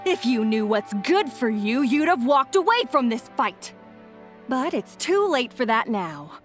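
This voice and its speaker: An actress playing an earnest, dutiful young policewoman in a video game, with a crisp official manner. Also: high-pitched, very animated, unhurried, soft background music. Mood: stern. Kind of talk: dramatic game voice acting.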